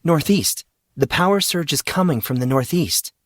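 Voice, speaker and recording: A man's voice: on the high side, expressive, moderate pace, no echo, clean studio sound, voice pushed up, lightly acted. A man voicing a dutiful female attendant in an audiobook story, formal, anxious and insistent. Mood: urgent, formal.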